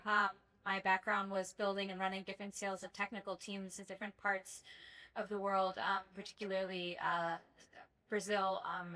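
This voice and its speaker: A woman's voice: mid-range voice, a bit bright, rough recording. A woman venture partner from the United States introducing herself on a panel, composed and positive.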